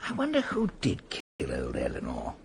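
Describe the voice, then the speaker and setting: An actor playing an eager, baffled upper-class English gentleman in a period radio drama, with a very husky voice. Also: extremely low pitch, huge pitch swings, moderate pace, a little hiss or hum, full character voice. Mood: curious, baffled.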